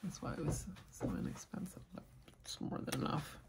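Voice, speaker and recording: A soft-spoken woman chatting casually as a vlogger, murmuring her thoughts quietly as she talks. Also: fairly quick, noisy background. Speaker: fragrance vlogger. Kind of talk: casual chat.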